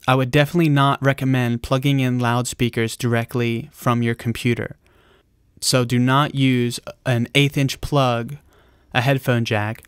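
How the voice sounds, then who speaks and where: A man's voice: medium pitch, clean tone, dry recording, studio quality. A man presenting an explanatory video tutorial in a plain-spoken, informal instructional way.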